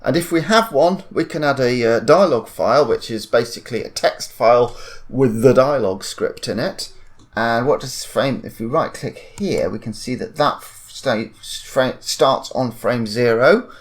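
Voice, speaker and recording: A man giving an informal software tutorial, thinking aloud and correcting himself in a hesitant way. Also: mid-range voice. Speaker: tutorial presenter.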